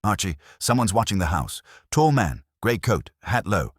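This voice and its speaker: Man playing an alert, husky private operative. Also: fairly low pitch, very animated, moderate pace, no room sound, studio quality. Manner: clipped, urgent. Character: alert, businesslike male private operative reporting in.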